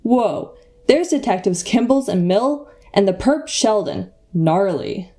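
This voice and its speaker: A woman's voice: mid-range voice, lively intonation, takes it slowly, mellow. A woman playing a laid-back, slangy crime reporter who is easily impressed. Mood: excited, laid-back.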